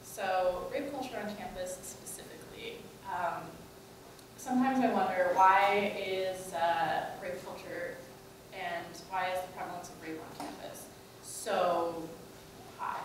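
A woman's voice: on the low side, steady tone, slow, very echoey, background noise. A young woman giving a conference talk, conversational, with many fillers and rhetorical questions, recorded from a far camera in a large lecture room. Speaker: student organizer giving a talk. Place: large lecture room, in front of a projector screen. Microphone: far camera, no mic.

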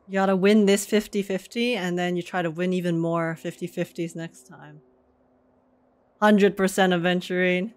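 A young woman giving casual, expressive reaction commentary on a game, joking and using slang as she goes. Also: on the low side, moderate pace. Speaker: streamer reacting to a game story.

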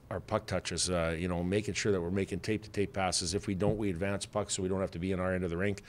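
Low-voiced middle-aged coach at a mic. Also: male voice. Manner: plainspoken, many fillers and restarts. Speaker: head hockey coach. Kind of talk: postgame press conference answers. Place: press room with sponsor backdrop. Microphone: desk mic on stand.

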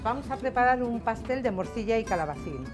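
A woman's voice: a little high, animated, a little fast. A woman, a restaurant cook explaining a recipe. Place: indoors in a restaurant kitchen. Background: guitar music.

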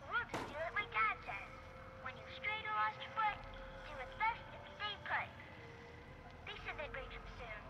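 A woman's voice: very high-pitched, very animated, normal speed, heavily acted, rough recording. A woman playing a sweet, friendly little girl in an old-time radio drama, with an innocent, singsong manner. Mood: sweet, curious.

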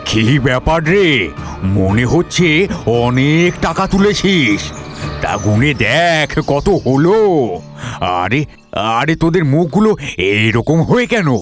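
A man voicing a kindly, protective old grandfather in an animated moral story, speaking haltingly and repeating words. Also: on the high side, soft background music. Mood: curious. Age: older.

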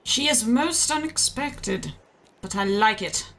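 Wry, animated companion played by a woman. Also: fairly high pitch, slow, exaggerated voice, poor audio. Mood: amused. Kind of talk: improvised comedic fan dub.

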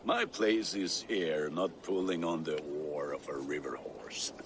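Over clear background noise comes a burly, middle-aged Viking blacksmith in a video game. He is jovial and hearty, and his slow speech swings widely up and down. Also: a bass voice, animated, full character voice. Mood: gruff good humor. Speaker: blacksmith character. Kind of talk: video game cutscene acting.

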